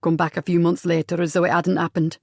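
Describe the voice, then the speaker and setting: A woman narrator voicing a tender, wounded young man who speaks low and earnestly, halting at times. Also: level tone, no room sound, studio quality.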